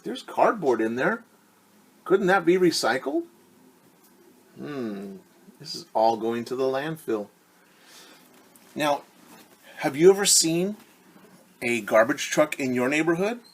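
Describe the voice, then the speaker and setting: Middle-aged man, story-time teacher, slow pace, rough-edged voice, simple explanations, repeated words. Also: medium pitch. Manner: repeats and stretches words for young listeners. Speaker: teacher reading a picture book aloud. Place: small room at home, indoors.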